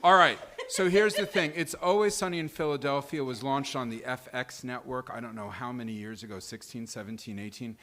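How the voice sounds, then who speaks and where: A man co-hosting a live table read, talking off the cuff in a wry, playful and deliberate way. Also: mid-range voice, bright, forceful tone. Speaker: co-host and performer at a table read. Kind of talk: casual off-the-cuff stage talk. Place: live event venue before a small audience.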